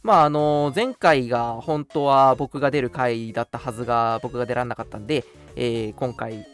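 A man's voice: mid-range voice, moderate pace, soft background music. A young man hosting a casual radio show, chatting politely with some self-deprecation. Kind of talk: casual radio chat and announcements.

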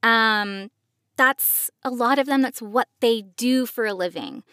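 High-pitched woman, close dry sound. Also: a little slow, fairly bright tone, dry recording. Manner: casual, reassuring, explanatory. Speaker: podcast host, professional voice actor. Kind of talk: conversational podcast advice.

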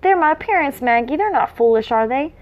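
A sensitive young hobbit boy, played by a woman reading aloud, innocent and quick to take fright. It is storybook dialogue. The recording is slightly dull and lo-fi. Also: high-pitched, animated, pitched above the performer's own voice. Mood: worried, puzzled.

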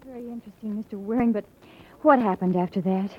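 A woman's voice: medium pitch, fast, slightly rough, natural voice. An actress playing an accusing, skeptical young woman in an old-time radio drama. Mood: suspicious. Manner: sharp-tongued, skeptical. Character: indignant, accusing young woman, murdered man's daughter. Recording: muffled old radio recording.

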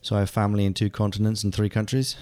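Chatty, fairly low-voiced man. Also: little pitch movement, rapid-fire, warm tone. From England. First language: German. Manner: chatty, hesitant, many fillers and restarts. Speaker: guest, actor. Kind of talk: casual conversation.

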